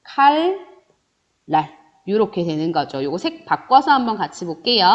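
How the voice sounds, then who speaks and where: A woman teaching a recorded grammar lesson, asking and answering her own questions in a rising and falling teacherly tone. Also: on the high side. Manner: lively, rising and falling teacherly sing-song, voices student questions herself. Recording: dull lo-fi recording.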